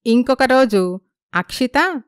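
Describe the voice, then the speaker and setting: A woman's voice: fairly high pitch, lively intonation, slow pace, clean tone, no echo, studio quality. A woman narrating a scripted moral story.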